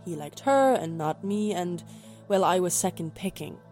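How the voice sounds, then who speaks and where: A woman performs a young woman remembering a romance that went wrong in a dark-comic radio drama. She speaks in a slightly low voice, candid and wry, confiding poetic memories with a wistful edge. Music is clearly heard underneath. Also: expressive, moderate pace, natural voice.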